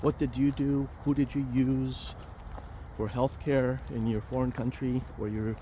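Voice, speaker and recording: Guy rambling thru his vlog on a walk outside, mellow voice, faint traffic hum behind. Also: medium pitch, flat delivery, unhurried, rough recording. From Romania. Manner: rambling, chatty, many fillers and restarts. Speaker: vlog host, financial planner. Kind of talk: casual vlog walking outdoors. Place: outdoors in a park near a highway.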